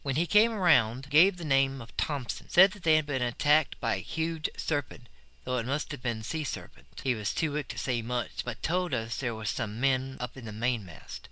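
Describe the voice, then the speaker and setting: Forceful, bright-voiced male audiobook reader. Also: medium pitch, moderate pace. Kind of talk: scripted narration, clipped ship's log reading.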